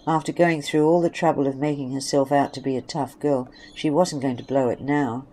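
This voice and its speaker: A man's voice: medium pitch, steady tone, fairly quick, a little mellow, rough recording. A man reading scripted story narration for an audio drama.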